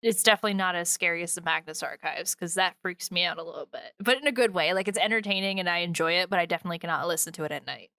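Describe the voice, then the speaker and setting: A woman podcast host chats casually in a bright, very clear voice that sits a little low. Her talk is slangy and informal. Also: rapid-fire, few pauses, no echo, studio quality.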